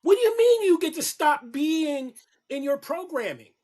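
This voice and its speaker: A man's voice: very high-pitched, animated, moderate pace, a little mellow. A man speaking as a podcast guest, giving an impassioned, preachy monologue that repeats key lines for emphasis. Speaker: guest on a podcast, kink educator.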